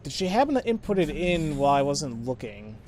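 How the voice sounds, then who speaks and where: A man with a fairly high-pitched voice gives off-the-cuff gameplay commentary. He rambles as he puzzles things out, mutters through bits of text and puts himself down. The recording carries some light background noise. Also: big pitch swings, a little fast, a little mellow. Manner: rambling, self-deprecating, mumbles through on-screen text. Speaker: let's player. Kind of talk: casual gameplay commentary, thinking aloud.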